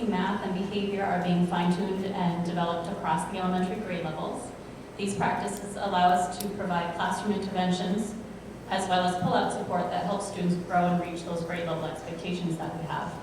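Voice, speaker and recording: A woman school principal giving a prepared, measured presentation at a school board meeting. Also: fairly low pitch. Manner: measured, explanatory. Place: school auditorium. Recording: echoey hall from far off, noisy.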